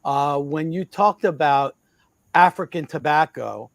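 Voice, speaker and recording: A man co-hosting a casual interview show, enthusiastic and halting as he repeats words while building up to a question. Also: fairly high pitch, creaky, no echo. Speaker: show co-host.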